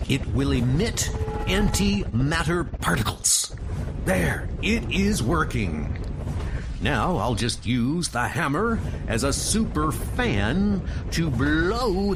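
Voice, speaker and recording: A man's voice: fairly high pitch, background noise, exaggerated voice. A man voicing a heroic, earnest thunder god superhero in a comic book dub, declaiming his lines.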